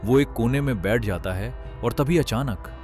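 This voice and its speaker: A man's voice: medium pitch, unhurried, darkish tone, soft background music. A man narrating a scripted cartoon story as a storyteller.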